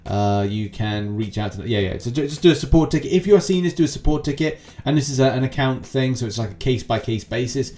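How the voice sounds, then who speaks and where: A man's voice: mid-range voice, animated, fast talker, hardly any pauses, warm tone. A man giving casual, rambling gaming news commentary as a channel host, with chatty asides.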